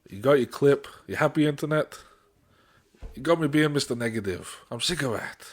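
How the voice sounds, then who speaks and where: A man's voice: mid-range voice, lively intonation, moderate pace, husky. A man co-hosting a podcast, talking in a casual, conversational way. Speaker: podcast co-host.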